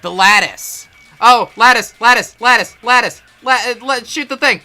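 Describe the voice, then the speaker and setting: A man's voice: very high voice, moderate pace, sharp tone, soft background music. A man playing a game with friends, exclaiming and joking, with dramatic line readings. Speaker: fan dub voice performer playing a game. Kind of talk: animated group game read-through and banter.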